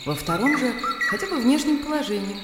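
An actress in a radio play playing a composed, worldly widow who speaks in a measured, persuasive way. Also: female voice, sits high, expressive, background noise. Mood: reflective, persuasive. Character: composed, worldly young widow, a landowner's self-assured sister. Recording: echoey room with music behind.

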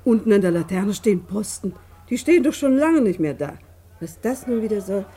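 A woman's voice: mid-range voice, lively intonation, brisk, mellow, breathy edge. An actress playing a tender, fussing, unsuspecting middle-aged mother in a German radio play. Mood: fretful, puzzled. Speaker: actor in a radio drama.

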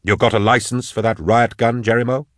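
A man's voice: medium pitch, flat delivery, a little fast, no echo, studio quality, voice pushed up, lightly acted. A man voicing a cool, authoritative male investigator in an audiobook, speaking in a terse, controlled way. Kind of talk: audiobook character dialogue.

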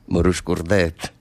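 A man's voice: deep voice, vocal fry, darkish tone, full character voice. A man playing a lovesick, restless prisoner in a radio play, telling rambling, impassioned stories in Neapolitan dialect. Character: lovesick, restless male prisoner, volatile storyteller. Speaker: stage actor. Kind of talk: radio theatre dialogue in dialect.

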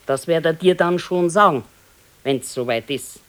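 Matter-of-fact older Bavarian wife. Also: female voice, sits low, a little slow, fairly bright tone. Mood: dismissive reassurance. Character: contented, practical, soothing older wife. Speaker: radio drama actor. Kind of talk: naturalistic 1970s radio play dialogue in dialect.

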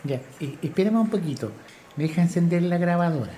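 A man's voice: sits high, expressive, a little fast, very noisy. A man with a Chilean accent playing a polite young journalism student in a radio drama. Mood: polite, earnest. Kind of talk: natural radio drama dialogue.

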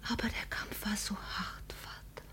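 An actress playing a young, devoted and grieving daughter in a vintage radio drama, with a husky, often whispery voice. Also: female voice, a little slow, sharp tone. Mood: tearful, hushed. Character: grieving, devoted daughter humouring her deluded father. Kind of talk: 1950 German radio drama acting.

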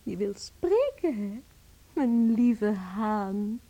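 An actress in a Dutch radio play playing a lovestruck, stubborn mistress, dreamy, dramatic and rapturous. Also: female voice, mid-range voice, very animated, extremely slow, mellow, highs cut off, heavily acted. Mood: adoring, excited.

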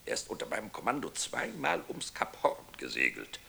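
An actor playing a stern, stubborn navy captain in a radio play, lurching between muttering and barking. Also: male voice, fairly low pitch, huge pitch swings, moderate pace, vocal fry, bright, forceful tone, exaggerated voice. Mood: brooding. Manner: erratic swings from muttering to barking. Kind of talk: German radio play acting.

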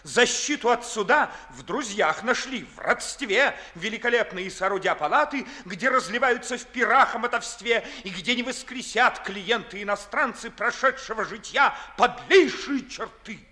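A scornful young idealist of the classical stage. His voice is high, bright and forceful, rising and falling animatedly as he delivers biting, declamatory verse for a radio play. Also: animated, moderate pace, few pauses. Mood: indignant, scornful. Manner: declamatory, crisply rhetorical, biting. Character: proud, indignant young gentleman, eloquent scornful idealist. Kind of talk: 1950s Russian classical stage verse acting.

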